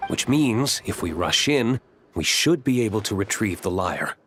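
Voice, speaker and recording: A man's voice: lowish voice, expressive, a little slow, fairly bright tone, faint music behind. A man playing an affable, easygoing adventurer in an anime game, with a conversational manner. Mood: focused.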